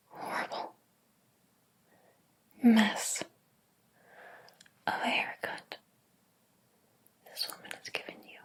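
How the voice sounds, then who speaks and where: Casting: woman as obsessive, wounded hairdresser for ASMR roleplay; higher-pitched, theatrical, unhurried, hushed breathy whisper. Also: exaggerated voice. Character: jealous, possessive hairdresser, obsessed with favorite client.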